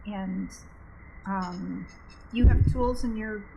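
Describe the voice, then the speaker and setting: A woman's voice: medium pitch, very slow, creaky, dull audio. A woman chatting casually as a player in a tabletop role-playing game, thinking aloud hesitantly. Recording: faint background noise.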